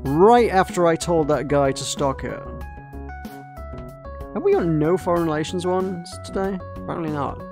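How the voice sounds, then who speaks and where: A man's voice: a little high, normal speed, over music. A young man chatting casually while streaming a game, rambling with lots of asides and fillers. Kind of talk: casual gameplay commentary.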